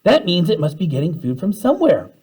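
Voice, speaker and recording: A man voicing curious, excitable young school children in a storybook, wide-eyed and questioning. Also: high voice, brisk, husky, pitched above the performer's own voice, exaggerated voice. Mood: excited. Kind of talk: children's storybook read-aloud.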